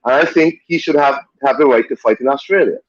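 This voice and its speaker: A man's voice: mid-range voice, quick pace, no echo. Blunt opinionated man, muffled audio. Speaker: podcast co-host. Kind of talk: casual boxing talk.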